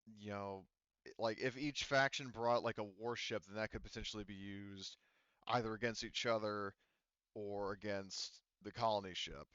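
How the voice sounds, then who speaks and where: A man acting as game master, explaining a tabletop game scenario in a conversational, halting way. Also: fairly low pitch, fairly even intonation, a bit bright, smooth, no room sound, lo-fi sound.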